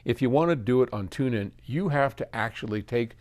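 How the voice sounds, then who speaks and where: A man's voice: medium pitch, expressive, a little fast, darkish tone. A man talking casually as a host answering listeners, explaining things conversationally and thinking aloud, with emphatic stresses on key words. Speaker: record label host answering listener questions. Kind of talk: casual explanatory talk to camera.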